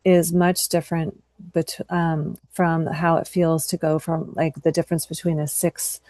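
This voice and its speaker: A woman working as a tapping practitioner, calling out tapping points in short, soft cues and then explaining at length with self-corrections. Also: low voice, flat delivery, unhurried, no echo.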